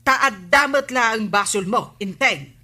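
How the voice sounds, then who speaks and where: An actress playing the village captain's stern, nagging wife in an Ilocano radio comedy, with an Ilocano accent. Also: female voice, on the high side, lively intonation, measured pace, pushed and bright. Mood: stern, demanding. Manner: nagging, commanding.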